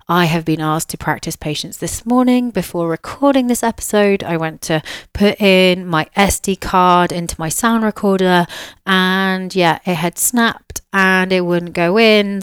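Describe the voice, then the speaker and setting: A woman hosting her own podcast in a relaxed, chatty way, and slightly bunged up from a cold. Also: fairly low pitch, clear, resonant tone, dry recording, clean studio sound. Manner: chatty, easygoing, with fillers like "um" and "yeah". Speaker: podcast host, spiritual mentor and psychic medium. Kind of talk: casual solo podcast chat.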